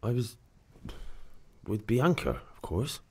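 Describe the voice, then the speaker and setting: An actor playing a young medieval peasant lad in a video game, casual and a little defensive. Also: male voice, medium pitch, expressive, takes it slowly, vocal fry, slightly rough, heavily acted. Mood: defensive, wry. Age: young adult. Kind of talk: dramatic video game dialogue.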